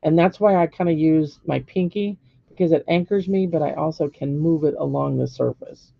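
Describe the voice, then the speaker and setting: A middle-aged woman with a hoarse voice, giving a live painting lesson step by step and checking in with viewers. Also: sits low, moderate pace, no room sound, lo-fi sound. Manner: patient step-by-step instruction with frequent "okay?" checks. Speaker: painting teacher. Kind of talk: live-streamed painting demonstration.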